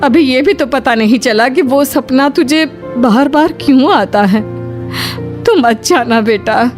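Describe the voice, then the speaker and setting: Worried protective mother, fairly high voice woman acting. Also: measured pace, soft background music. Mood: wary. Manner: fussing, cautioning, motherly address. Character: Sushila, worried protective mother of grown son. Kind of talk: dramatised Hindi cartoon story dialogue.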